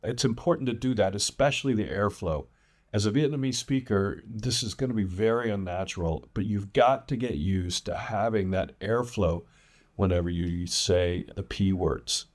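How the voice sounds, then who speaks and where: A man giving an English pronunciation lesson as a teacher, enunciating example words with deliberate emphasis. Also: fairly low pitch, a little mellow. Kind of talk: instructional lesson with demonstrated words.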